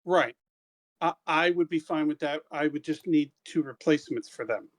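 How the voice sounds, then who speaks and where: A man's voice: on the high side, normal speed. A man playing in a tabletop game, talking casually and hesitantly, often restating his point. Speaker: player.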